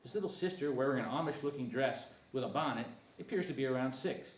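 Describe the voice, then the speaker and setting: A man's voice: medium pitch, fast talker. A man narrating screenplay directions at a table read, sometimes stumbling over the text. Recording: echoey room, faint noise.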